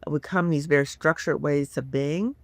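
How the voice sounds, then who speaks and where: A woman's voice: deep voice, normal speed, dry recording. A woman in her fifties, a psychologist, explaining earnestly in a casual podcast talk. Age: 50s. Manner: earnest, explanatory, acts out inner voices. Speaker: guest psychologist.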